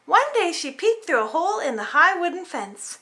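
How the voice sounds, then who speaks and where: Bright, high-pitched woman reading a storybook. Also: normal speed. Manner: animated, dramatic storytelling for small children. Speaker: storybook reader. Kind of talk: expressive children's read-aloud narration.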